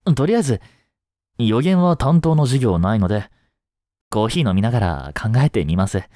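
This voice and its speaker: A man playing a caring, easygoing high school teacher in a voice drama, speaking conversationally with gentle concern. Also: animated, normal speed, dry recording, clean studio sound, close to an everyday voice. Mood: worried, polite. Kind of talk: scripted Japanese voice drama acting.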